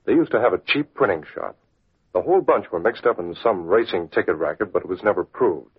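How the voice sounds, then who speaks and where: Commanding vigilante, animated range, very husky, thin tinny vintage radio sound. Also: male voice, sits low, exaggerated voice. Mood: thoughtful. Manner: clipped, authoritative, deliberate. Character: decisive, commanding male masked crime-fighter. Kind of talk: 1940s radio drama acting. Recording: tinny, scratchy old radio recording.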